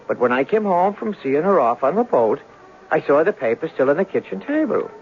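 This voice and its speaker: An actor playing a lovesick, exasperated young Irish immigrant in 1970s radio drama, earnest and stammering with an Irish accent. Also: male voice, fairly high pitch, sing-song, brisk. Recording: muffled, hissy old radio recording.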